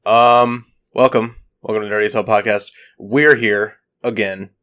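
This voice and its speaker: A man hosting a podcast, joking and swearing in relaxed banter, a little congested from allergies. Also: lowish voice, measured pace. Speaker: podcast host. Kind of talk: casual chat.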